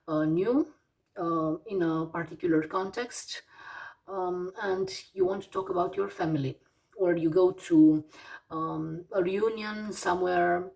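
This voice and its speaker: A Romanian woman in her forties with a Romanian accent, teaching English vocabulary slowly, with many fillers and pauses as she lists words one by one. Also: fairly low pitch, gravelly, darkish tone, recorded from a distance. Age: 40s. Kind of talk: slow vocabulary lesson.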